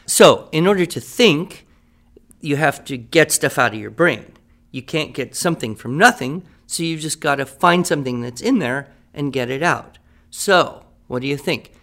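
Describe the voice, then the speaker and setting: A man's voice: a little high, animated, normal speed, fairly bright tone. A man playing an encouraging writing teacher. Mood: patient. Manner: patient, encouraging, clear instruction. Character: patient male writing teacher. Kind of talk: amateur radio drama acting.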